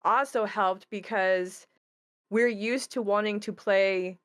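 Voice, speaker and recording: A woman's voice: mid-range voice, steady tone, takes it slowly, smooth, no room sound. A woman who creates tabletop games, talking casually and thoughtfully as she explains her work. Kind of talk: casual panel chat.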